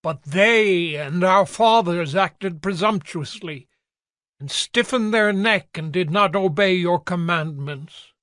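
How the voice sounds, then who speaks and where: A man playing a solemn Levite who leads a public prayer in a dramatized scripture reading, declaiming in a measured, reverent way. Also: sits high, a bit bright, dry recording, studio quality. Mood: grave confession. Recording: music bed under the voice.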